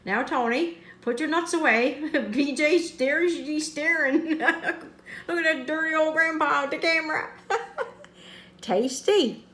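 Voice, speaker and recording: A woman's voice: high-pitched, measured pace, sharp tone. A woman reading a picture book aloud, constantly breaking into giggles and making teasing asides. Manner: constant giggling, cracks up between lines. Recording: roomy, echoey sound.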